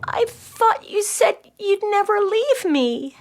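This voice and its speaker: A woman's voice: very high voice, very animated, slow, in a large hall, voice pushed up, heavily acted. A woman voicing an earnest, wide-eyed nine-year-old girl in a children's audio play. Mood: worried.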